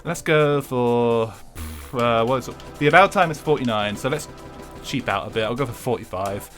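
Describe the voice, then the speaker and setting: A man's voice: mid-range voice, normal speed, fairly bright tone, faint music behind. A young English man chatting casually with his viewers while streaming a racing game, swearing freely and laughing often.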